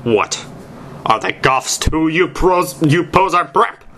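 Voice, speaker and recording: A man's voice: a little high, expressive, takes it slowly, pushed and bright, pitched above the performer's own voice, heavily acted. A man voicing an angry, melodramatic, foul-mouthed goth teenage girl in an amateur story reading, snapping indignantly. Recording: noisy amateur recording.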